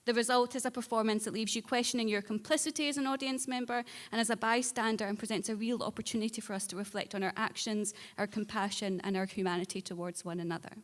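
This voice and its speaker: A woman with a fairly high voice reads out prepared award citations at a live ceremony. Her delivery is measured and articulate, and the hall gives it a long echo. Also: steady tone. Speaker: award judge announcing shortlist. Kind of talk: prepared presentation speech. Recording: echoey hall with long reverb.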